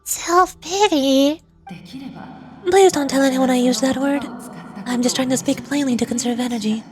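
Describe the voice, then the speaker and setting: A woman's voice: high-pitched, moderate pace, darkish tone, natural voice. A woman voicing a solemn, all-knowing ancient ruler from a fantasy game, reading formal explanatory dialogue aloud.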